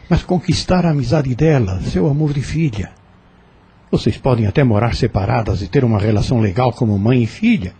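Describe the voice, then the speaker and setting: Guy playing a kind brazilian counselor, really husky soft dark voice, radio play. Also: medium pitch, exaggerated voice. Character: calm, caring man, gently questioning and counselling. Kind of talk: audio drama acting.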